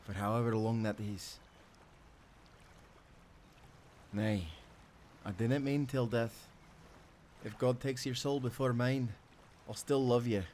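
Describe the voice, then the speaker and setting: An actor playing a devoted, playful sailor husband with a Scottish accent, speaking tenderly in old-fashioned seafaring language for a romantic audio roleplay. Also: male voice, medium pitch, steady tone, a little slow, a little hiss or hum, lightly acted. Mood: devoted, solemn. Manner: tender, teasing, given to soft chuckles.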